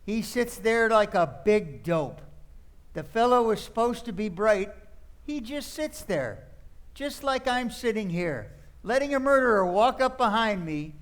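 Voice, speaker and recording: A man's voice: high voice, animated, measured pace. A man playing a smug, wisecracking, exasperated theater critic, sardonic and theatrical, in an old-time radio play. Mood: scornful.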